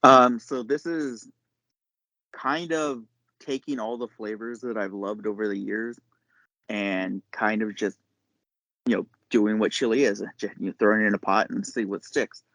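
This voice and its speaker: A man, probably middle-aged, talking casually as a podcast guest, explaining things in a halting, reflective way. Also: lowish voice, steady tone, fairly bright tone, no room sound, poor audio. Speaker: podcast guest, chef and caterer. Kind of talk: casual interview chat.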